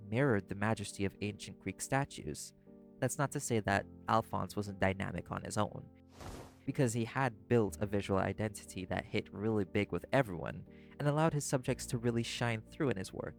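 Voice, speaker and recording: A soft-spoken male vampire, acted by a man, talks in a low register with heavy fry in his voice. He rambles intimately in a learned, opinionated way, slipping in informal asides as if for a sleep-aid roleplay. Faint music plays behind him.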